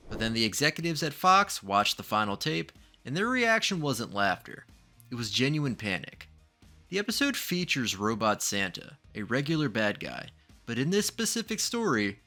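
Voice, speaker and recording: A man's voice: mid-range voice, pushed and bright. A man narrating a scripted video essay in a dramatic, ominous true-crime manner, posing rhetorical questions. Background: background music.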